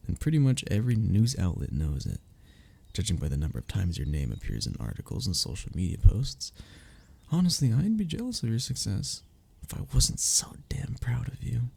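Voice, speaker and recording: A man's voice: lowish voice, wildly swooping pitch, a little fast, vocal fry, mellow, slightly rough, heavily acted. A man playing a sentimental, teasing young man in an ASMR roleplay, talking intimately and conversationally to a childhood best friend. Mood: teasing, fond. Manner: intimate, conversational, with sighs and chuckles. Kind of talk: ASMR roleplay audio drama.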